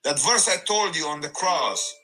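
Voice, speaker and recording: A middle-aged man from Afghanistan telling his story in a halting, emphatic, animated way with many restarts. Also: fairly high pitch, low-quality recording. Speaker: guest giving a testimony. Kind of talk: conversational personal testimony.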